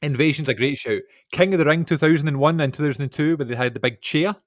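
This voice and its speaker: A man's voice: a little high, fast talker, no room sound, clean studio sound. A man, co-hosting a podcast, chatting eagerly and rambling with lots of fillers. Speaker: podcast co-host. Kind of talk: casual chat.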